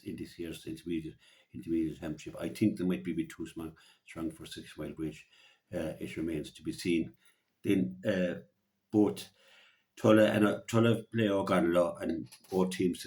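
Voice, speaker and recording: Deep-voiced Irishman previewing hurling. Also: darkish tone. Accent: Irish, County Clare. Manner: halting, often repeating words. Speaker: local radio hurling pundit. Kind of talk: conversational sports preview.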